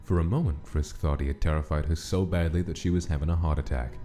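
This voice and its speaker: A man's voice: extremely low pitch, fairly even intonation, fast, mellow, faint music behind. A man reading dramatic scripted story narration as the narrator of a comic dub.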